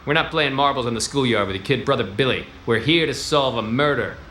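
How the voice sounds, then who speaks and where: An actor playing a wisecracking, hard-boiled 1940s detective with clipped, gruff, deadpan delivery. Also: male voice, medium pitch, brisk, roomy sound, slight background noise, lightly acted. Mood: commanding. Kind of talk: 1940s-style radio play acting.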